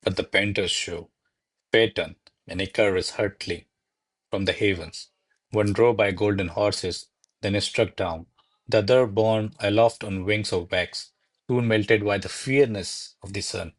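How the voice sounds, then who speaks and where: Classical radio play: male actor as lovestruck servant-secretary, low register, declamatory, anxious soliloquist. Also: steady tone, unhurried. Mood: deferential. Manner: theatrical, declamatory, musing in soliloquy. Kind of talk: verse stage comedy, recited as radio play.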